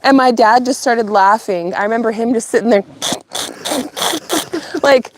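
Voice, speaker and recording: An animated middle-aged woman from California tells a family story on a livestream, rambling through asides and laughing often. Her voice sits a bit high for a woman, and she talks casually, like chatting with friends. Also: unhurried, dry recording. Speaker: channel host.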